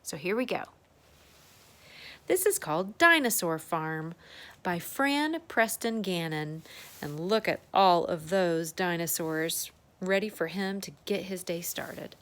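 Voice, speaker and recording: Woman storybook reader for little kids: unhurried, very animated pitch, chatty asides and questions. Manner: expressive, exclaiming, asking young listeners questions. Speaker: children's circle-time teacher and storybook reader. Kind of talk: children's storybook read-aloud with chatty asides.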